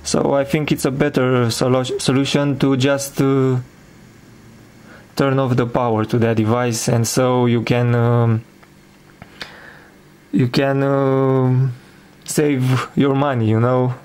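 A man giving an informal hands-on demonstration as presenter of an electronics project, with hesitant, halting phrasing. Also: mid-range voice, little pitch movement, darkish tone, clean tone.

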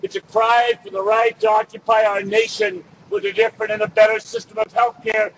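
A middle-aged man gives an emphatic, loudly projected political speech at an outdoor rally, reading from notes. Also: high voice, sing-song, fairly quick, vocal fry, rough recording. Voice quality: loud, projected voice. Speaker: rally speaker. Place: outdoors on city building steps in winter. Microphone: camera a few feet away. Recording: outdoor street noise, no microphone.

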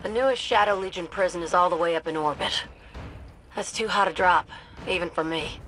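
A woman's voice: on the low side, fairly quick, a bit bright, slight background noise, close to an everyday voice, poor audio. A woman playing a down-to-earth, wry pilot in a video game, talking in a folksy way. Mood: casual, wry. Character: plucky, down-to-earth female pilot, wry and casual.

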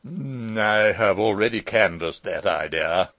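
A man's voice: lowish voice, measured pace, fairly bright tone, no echo, highs cut off. A man voicing a pompous, fussy old uncle in an audiobook story, speaking in a formal, long-winded and pedantic way. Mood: grave, fussy.

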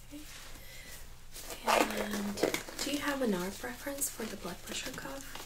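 Woman, ASMR medical exam role-play, gentle near-whisper, higher-pitched, faint background noise. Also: a little slow. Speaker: ASMR performer playing a medical examiner. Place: small indoor room set up as exam office.

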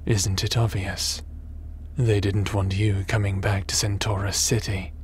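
A man playing a cold, scheming crime boss in a sci-fi audio drama, speaking in a menacing, measured, smug and theatrical way. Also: lowish voice, level tone, husky. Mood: smug, gloating.

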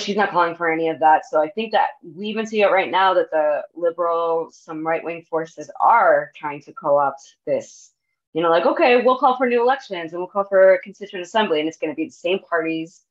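Female discussion guest, young adult, American accent, low pitch, conversational, muffled video-call sound. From grew up in New Jersey, USA. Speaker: guest, activist organizer. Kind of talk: casual political discussion. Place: home room with bookshelves. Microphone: earbuds on a video call. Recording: dull video-call audio.

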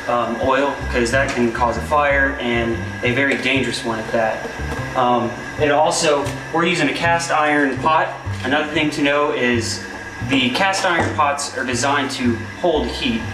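A man's voice: medium pitch, recorded from a distance. A young man working as a cook gives casual kitchen safety tips, speaking plainly with many fillers. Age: young adult. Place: commercial kitchen. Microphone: far camera. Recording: roomy kitchen from off-mic, noisy.

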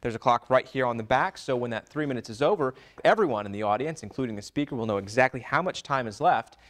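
A man's voice: mid-range voice, lively intonation, fast talker. A man demonstrating and explaining how a system works, step by step.

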